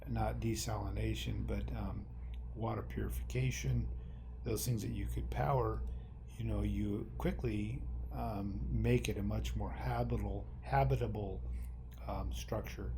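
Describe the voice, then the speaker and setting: A man's voice: on the low side, fairly even intonation, a little slow, vocal fry, background noise. A man co-hosting a podcast in casual chat, speaking hesitantly with many ums and trailing phrases. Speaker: podcast co-host.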